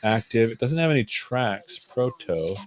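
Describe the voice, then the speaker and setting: Man, livestream host, mid-pitched voice, live coding, thinking aloud, soft music behind. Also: lively intonation, unhurried. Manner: chatty, muttering to himself while working.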